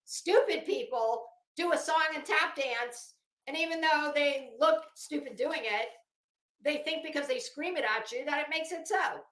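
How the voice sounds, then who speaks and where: A woman's voice: sits high, measured pace, fairly bright tone. A woman livestreamer talking casually and reading aloud, with a blunt, sardonic, salty-tongued manner. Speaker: livestream host. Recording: echoey room.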